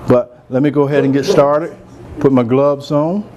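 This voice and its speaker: A soft-spoken man, chatting relaxed as he hosts a cooking demonstration in a large room. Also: medium pitch, moderate pace, dull audio, a crowd in the background. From Georgia, US. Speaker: cooking show host, chef. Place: large room with a live audience.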